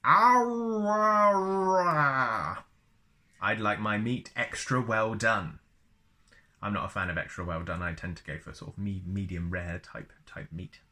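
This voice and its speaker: A man's voice: low voice, steady tone, moderate pace, bright, forceful tone. A man reading a book aloud as a storyteller, chatty and often slipping into casual asides. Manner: chatty, wanders into personal asides.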